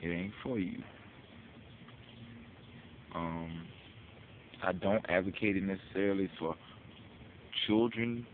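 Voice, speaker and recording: A tinny, phone-like recording with faint hiss carries a deep-voiced American man. He delivers an informal public address, pressing his case in street slang, with casual cursing and questions he puts to the listener. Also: slow, long pauses. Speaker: speaker giving a public address. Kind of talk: informal advocacy monologue.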